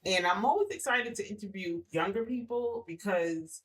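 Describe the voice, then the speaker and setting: A woman's voice: medium pitch, moderate pace, low-quality recording. A middle-aged woman hosting a podcast, chatting casually with a guest, laughing often and restarting her sentences. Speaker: podcast host. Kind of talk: casual interview chat.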